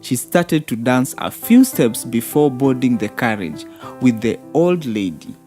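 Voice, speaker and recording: Low-voiced, unhurried woman storyteller. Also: sing-song. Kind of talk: scripted children's fairy-tale narration. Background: soft background music.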